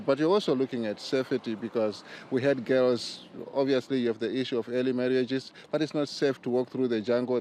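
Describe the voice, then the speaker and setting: Tamu pria beraksen Zimbabwe, bicara tersendat dan sungguh-sungguh, nada suara sedang. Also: male voice, mid-range voice, a little mellow, a little hiss or hum. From rural Zimbabwe. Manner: halting, with fillers and restarts. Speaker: interview guest. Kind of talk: earnest interview answers.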